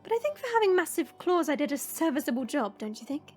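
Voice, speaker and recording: A woman playing a gentle dragon princess in an audio roleplay, speaking in a measured, formal and tender way. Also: high-pitched, sing-song, faint music behind. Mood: fond, proud. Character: gentle dragon princess, proud gardener, softly regretful.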